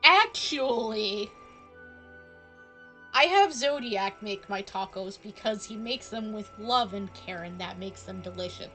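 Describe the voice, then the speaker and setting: A woman's voice: mid-range voice, animated, measured pace, bright, forceful tone. A woman live streaming a game, chatting casually and playfully with viewers. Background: game music.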